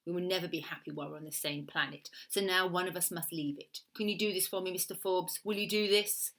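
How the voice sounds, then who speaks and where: A woman voicing a fragile, bitter Italian woman of thirty who hires a killer, with an Italian accent and formal, deliberate phrasing. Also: lowish voice, fairly even intonation, a bit bright. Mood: bitter, wounded.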